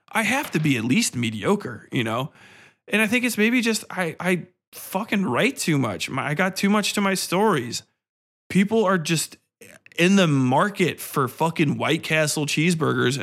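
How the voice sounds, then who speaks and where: Male podcast host, slightly high voice, casual ranting delivery, profane and exasperated. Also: fairly bright tone. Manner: profane, exasperated, blunt complaining.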